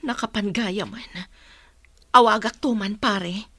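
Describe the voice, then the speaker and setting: A woman playing a tender, worried middle-aged mother in a radio drama, with a gentle, plaintive delivery. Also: medium pitch, takes it slowly, exaggerated voice. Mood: concerned.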